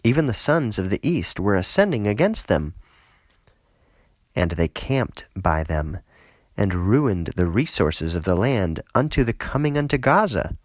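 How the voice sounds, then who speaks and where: A man reading scripture aloud as an audiobook narrator, measured and solemn, lifting into emphasis for quoted speech. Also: fairly low pitch, very animated, dry recording, studio quality. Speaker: audiobook reader.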